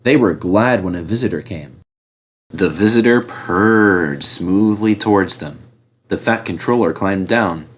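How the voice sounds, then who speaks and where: A man narrating a children's storybook in an expressive, scripted reading style. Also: low-pitched, a little slow.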